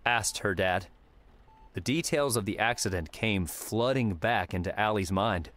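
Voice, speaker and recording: A man's voice: lowish voice, measured pace, a bit bright. A man narrating scripted third-person fiction as an audiobook narrator. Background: music.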